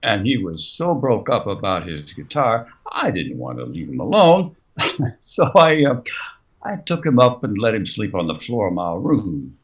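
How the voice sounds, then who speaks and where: An actor playing a protective, folksy frontier saloon keeper in a Western radio drama. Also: male voice, lowish voice, sing-song, unhurried. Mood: guarded, insistent. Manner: folksy rustic grammar. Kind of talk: old-time Western radio acting.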